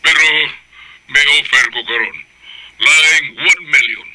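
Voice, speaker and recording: Forceful, indignant older gentleman. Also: fairly high pitch, full character voice, low-quality recording. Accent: Cebuano Filipino. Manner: emphatic, indignant. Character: indignant, vengeful older rich man, stern and grave. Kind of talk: Filipino radio drama acting.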